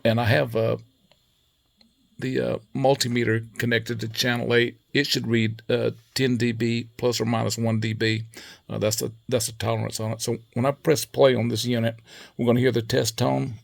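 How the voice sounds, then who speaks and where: A man giving a casual hands-on technical tutorial in a methodical, matter-of-fact way, pausing as he goes. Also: mid-range voice, little pitch movement, gravelly. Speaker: presenter demonstrating equipment calibration.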